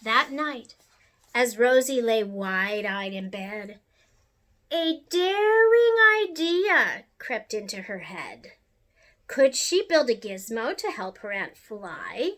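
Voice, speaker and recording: A woman reading a rhyming children's picture book aloud in an expressive, sing-song way. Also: fairly high pitch, slow. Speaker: storyteller.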